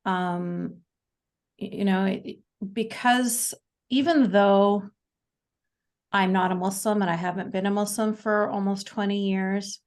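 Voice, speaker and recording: A Canadian woman in her forties, a guest speaking in a casual interview, talking haltingly with many fillers and restarts. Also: medium pitch, fairly even intonation. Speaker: podcast guest.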